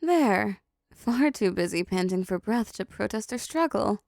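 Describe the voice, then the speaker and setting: A seductive, possessive female demon played by a woman speaks slowly and closely in a dark, mellow voice with a creaky edge. She is teasing and controlling, doling out condescending pet names as in an intimate audio roleplay. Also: medium pitch, lively intonation, no room sound, studio quality. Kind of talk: intimate roleplay audio drama, addressing the listener.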